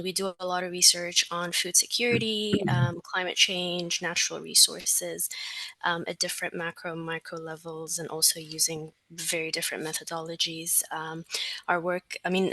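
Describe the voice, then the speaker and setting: A woman's voice: fairly low pitch, pushed and bright, no echo, rough recording. A woman from Sudan, fluently introducing herself as a research assistant on a video call. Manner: fluent and articulate.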